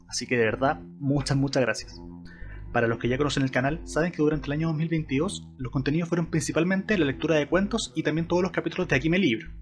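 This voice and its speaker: A man's voice: on the high side, a bit bright. A man with a Chilean accent, hosting his channel with scripted video essay narration addressed to viewers. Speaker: YouTube channel host.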